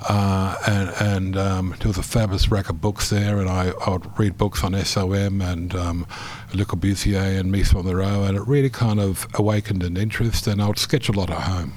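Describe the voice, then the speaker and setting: A man from New Zealand, an architect, answering interview questions in a measured way with many pauses and restarts. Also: sits low, flat delivery, a little mellow, a little hiss or hum. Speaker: architect guest.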